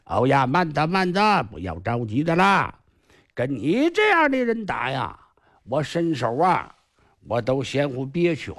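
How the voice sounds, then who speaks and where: A man voicing a boastful, cunning male martial artist with sorcery tricks, in a shrill sing-song drawl. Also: huge pitch swings, unhurried, fairly bright tone, exaggerated voice. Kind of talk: traditional storytelling performance.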